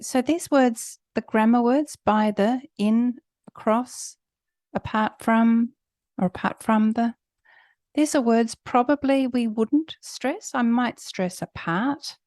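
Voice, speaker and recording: A woman's voice: on the high side, a little mellow, dry recording, clean studio sound. A woman teacher giving an instructional lesson on pronunciation, coaching step by step and emphasizing example words. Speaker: English test preparation teacher.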